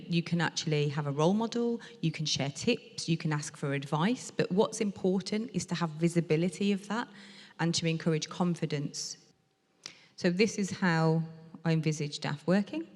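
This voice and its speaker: A woman interpreter voicing a deaf speaker's talk aloud, in a measured, explanatory way with some fillers. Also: low voice, a little mellow. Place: lecture hall at a museum event. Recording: reverberant lecture hall.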